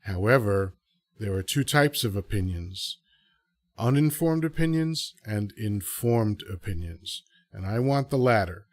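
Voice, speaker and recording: A man's voice: on the low side, expressive, measured pace, a little mellow, no room sound, clean studio sound. A man from the Dominican Republic hosting a live podcast, talking through his thoughts in a rambling, earnest, self-correcting way. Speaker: podcast host. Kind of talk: casual solo commentary to a live audience.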